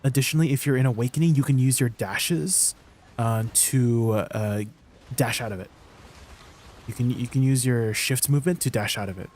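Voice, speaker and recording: A man raised in Canada with Tagalog as his first language, chatting on a live game stream. His mid-range voice is relaxed and laughs often. He works through gameplay tips with lots of ums and restarts. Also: mid-range voice, normal speed.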